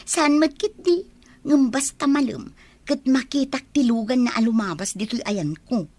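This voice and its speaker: Woman acting husky, nosy village auntie. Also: high-pitched, expressive, measured pace, a little mellow, dry recording, heavily acted. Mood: gossipy. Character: nosy, gossipy village aunt who minds a store. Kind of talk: Ilocano radio drama acting.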